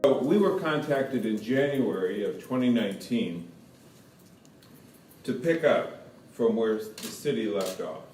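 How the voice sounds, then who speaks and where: A man's voice: on the low side, animated, unhurried, darkish tone. Man, lawyer's press statement, roomy echo, distant mic, faint noise. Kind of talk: public statement at a press conference.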